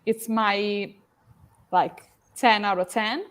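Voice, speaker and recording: Italian-accented female, unhurried pace, mid-pitched, casual conversation. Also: steady tone, smooth. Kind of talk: casual chat.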